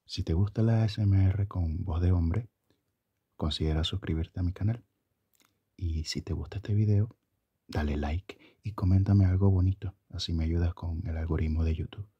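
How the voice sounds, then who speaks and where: A soft-spoken man in his thirties presenting ASMR, often repeating his words. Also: deep voice, normal speed, slightly rough, dry recording, dull audio. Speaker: ASMR channel host.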